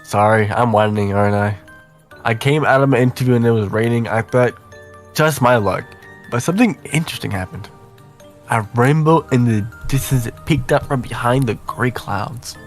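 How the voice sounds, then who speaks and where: A man's voice: medium pitch, moderate pace, faint music behind, natural voice. A man voicing a chatty, affectionate young female letter writer in a visual novel, conversational and self-deprecating. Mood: wistful, fond.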